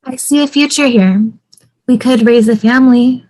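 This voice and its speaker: A high-pitched young wife played by a woman at a table read. She is earnest, practical and persuasive, talking in a natural conversational way on a video call. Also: steady tone, moderate pace, a little mellow, natural voice, rough recording. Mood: hopeful.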